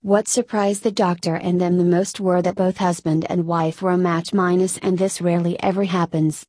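A woman narrator reading a scripted feature article aloud in a measured way. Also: lowish voice, level tone, mellow, dry recording.

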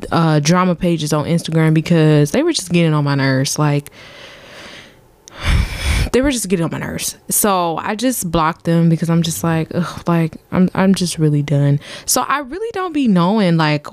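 A young woman hosting her own podcast in casual, playful chat, full of slang, her voice a little deep lately. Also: dry recording. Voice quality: voice sounding a bit deep lately. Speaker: podcast host.

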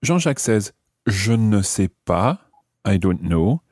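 A man's voice: fairly low pitch, sing-song, unhurried, a little mellow, husky, no room sound, clean studio sound. A man teaching a language lesson as host, carefully breaking phrases down and translating them in a didactic way. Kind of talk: explanatory French grammar lesson, phrase by phrase.

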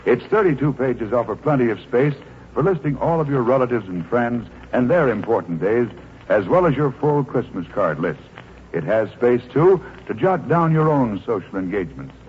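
A man's voice: medium pitch, big pitch swings, moderate pace. A man reading a 1940s radio advertisement with a persuasive sales delivery. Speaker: commercial announcer. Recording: muffled, noisy 1940s radio recording.

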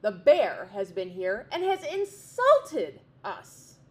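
A woman voicing a brood of young wren birds, shrill, loud and indignant. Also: big pitch swings, takes it slowly. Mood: outraged.

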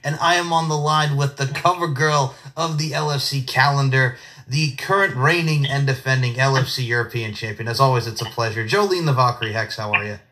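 A man's voice: mid-range voice, fairly quick, sharp tone. A young man hosting a podcast, hyped and effusive, often repeating himself as he chats with his guest. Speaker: podcast host. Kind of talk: casual interview chat.

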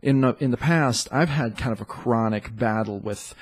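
A man hosting a dating podcast in a loose, chatty way, sounding congested and often pausing on fillers. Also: mid-range voice, breathy edge. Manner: loose and chatty, with many fillers and self-corrections. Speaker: podcast host and dating coach. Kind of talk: casual solo podcast talk.

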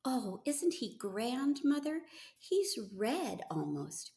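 A woman voicing an eager, gentle thirteen-year-old farm boy in a storybook reading, earnest and excitable. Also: on the high side, slow, roomy sound, pitched above the performer's own voice, full character voice. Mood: thrilled.

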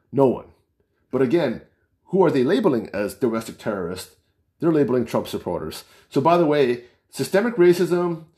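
A man talks straight to his listeners in a loose, unscripted opinion piece. He keeps asking rhetorical questions and restarting his sentences, and his pitch sits in a middle range for a man. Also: a little mellow. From United States. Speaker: political commentator.

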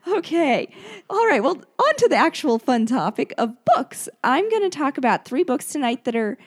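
A woman hosting a book show in casual conversation, her voice hoarse and gravelly and prone to cutting out. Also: high voice, sing-song, normal speed. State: hoarse, gravelly voice that comes and goes. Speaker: host of a book discussion show.

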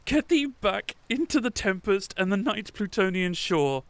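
A male performer plays a tormented man deep in grief, in a gothic audio drama. The voice is pushed high and delivered with anguished, declamatory passion. He rages and pleads like someone at the end of endurance. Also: animated, a little slow, very noisy. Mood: furious, shrieking.

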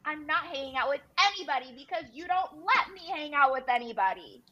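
A woman acting an angry, high-pitched, swooping fiancée. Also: lively intonation, a little fast, bright, forceful tone, dull audio. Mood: indignant. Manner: combative, sweary, accusatory. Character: angry, foul-mouthed, insecure reality-show fiancée in a blowout fight. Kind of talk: dramatic reading of a reality TV argument.

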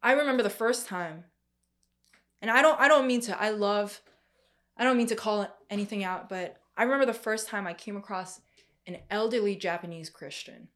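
A young woman gives a sermon in a loose, conversational style. She often breaks off, restarts and fills pauses as she works through her thoughts. Her pitch sits in an ordinary middle range. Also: fairly bright tone. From Korean family background. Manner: conversational, many fillers and restarts. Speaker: preacher.

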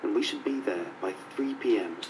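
A man telling a story about a diving job in a lively storytelling way. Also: lowish voice, a little fast. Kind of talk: recounting a story. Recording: distant, noisy playback.

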